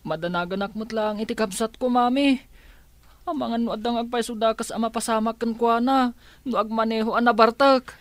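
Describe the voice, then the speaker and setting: An actor playing a timid, dutiful young son with an apologetic, hesitant manner in a radio drama. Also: male voice, very high-pitched, fairly even intonation, brisk, no echo. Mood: worried, apologetic. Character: timid, dutiful young son worried about his sister.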